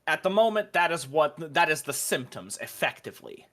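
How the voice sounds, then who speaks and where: A man serves as dungeon master, improvising narration in a casual way. His voice sits a little high and moves about with lively intonation. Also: moderate pace. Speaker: dungeon master of a tabletop game.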